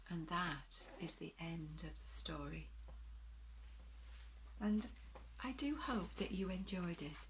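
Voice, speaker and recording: Woman reading kids story, slow and gentle, expressive, bit of background noise. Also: mid-range voice. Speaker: storyteller reading a picture book to children. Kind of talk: children's story reading with asides to listeners.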